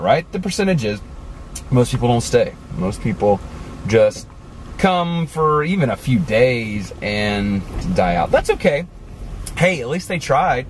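A man in his forties talking casually to the camera from inside a car, animated and chatty, with many asides. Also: mid-range voice, a little hiss or hum. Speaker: vlogger. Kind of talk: casual motivational talk to camera. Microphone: camera on the dashboard.

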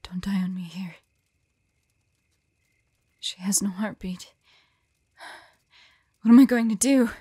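A woman playing a weary, protective demon hunter in an audio drama, gentle yet resolute, with a measured, solemn delivery. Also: medium pitch, slightly rough, no echo, clean studio sound. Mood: desperate.

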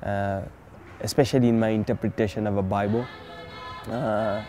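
A man who ministers as a pastor, answering interview questions outdoors in a steady, reflective way. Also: lowish voice, moderate pace. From Zambia. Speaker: pastor and student. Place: outdoors by a wall. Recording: clear music underneath.

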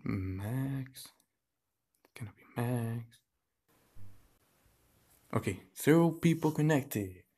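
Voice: mid-range voice, lively intonation, drawn-out words, long pauses, warm tone. A podcast host chatting casually to viewers in a vlog.

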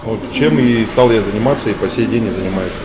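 Low-voiced man, halting, noisy hall. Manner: measured, with many hesitant fillers. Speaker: interview guest. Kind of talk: casual interview answers. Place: large bright indoor hall, seated on a sofa. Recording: noisy room ambience.

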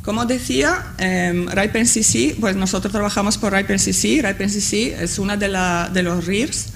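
A woman's voice: medium pitch, moderate pace, creaky, slight background noise. A woman in her thirties, a native Italian speaker, presenting a tutorial with many hesitations and fillers at a conference panel table, using a table microphone. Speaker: course instructor.

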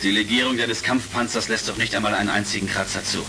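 A man's voice: on the low side, steady tone, fast, vocal fry. A man playing an easygoing heroic space commander in a retro science-fiction radio drama. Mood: chummy. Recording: crackly vintage record, music behind.

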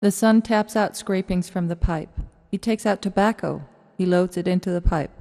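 A woman's voice: on the low side, normal speed, warm tone, lightly acted. A woman narrating stage directions for a radio drama in short, scripted, matter-of-fact sentences, with a reverberant sound. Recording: long reverberant hall echo.

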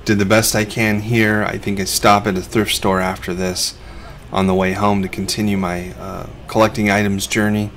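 A man's voice: fairly low pitch, level tone, normal speed, few pauses, a bit bright, slight background noise. A middle-aged man, a reseller who hosts a vlog, narrating over his footage in a laid-back, conversational way.

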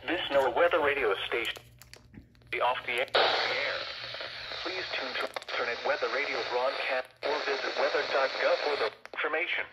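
A man's voice: medium pitch, normal speed, pauses often. A synthetic man's voice reading a formal scripted weather announcement through a radio speaker. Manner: flat synthetic repetitive reading. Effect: played through radio speakers. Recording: noisy overlapping radio speakers in room.

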